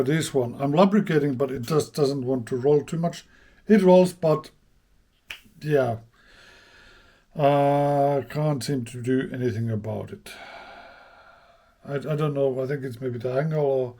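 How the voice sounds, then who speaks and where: A man casually narrating a hands-on repair as he goes, thinking aloud in a halting way. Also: mid-range voice, dark tone. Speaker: host of a vintage radio repair channel.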